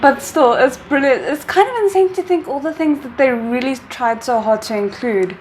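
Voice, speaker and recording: A young woman talks casually over a clip she is reacting to. Her voice sits a little high, and she laughs easily between comments. The room carries a faint hiss. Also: normal speed. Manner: laughs often, chatty and expressive. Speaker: reaction video host.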